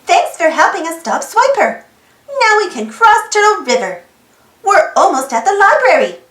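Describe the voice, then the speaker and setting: A woman reading a children's picture book aloud in an animated way, putting questions to young listeners. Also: extremely high pitch, normal speed, echoey room. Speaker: storybook read-aloud host.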